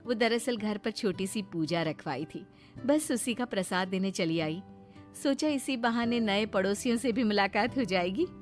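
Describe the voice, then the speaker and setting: A woman voicing a kind, gentle older neighbour in a Hindi moral cartoon, courteous and gently admonishing. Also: mid-range voice, normal speed, music in the background, lightly acted. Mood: friendly.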